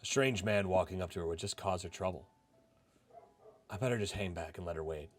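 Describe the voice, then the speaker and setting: A man voicing a reserved, brooding young office worker who narrates a visual novel in a subdued, measured way. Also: sits low, close to an everyday voice. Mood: wistful. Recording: music playing behind the voice.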